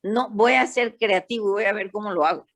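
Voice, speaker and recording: An older woman from Panama with a Panamanian accent, talking as a psychologist guest in a panel discussion and telling stories in an animated, emphatic way. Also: medium pitch, a little fast. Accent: Panamanian Spanish.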